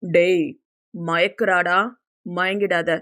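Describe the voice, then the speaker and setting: Woman voicing a flustered lovestruck young man, pitched down. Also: flat delivery, takes it slowly, fairly bright tone. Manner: exclaiming, scolding affectionately. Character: flustered young man in love, gruff and teasing. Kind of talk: Tamil audio novel character acting.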